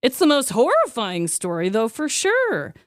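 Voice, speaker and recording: Animated, high-voiced woman podcast host. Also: measured pace, no room sound, studio quality. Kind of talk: casual comedic banter, presenting joke awards.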